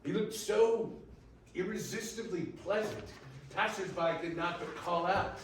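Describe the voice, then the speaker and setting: A man narrating a story in a theatrical readers theater style, with emphatic pauses. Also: sits high. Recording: very echoey room, distant mic, faint noise.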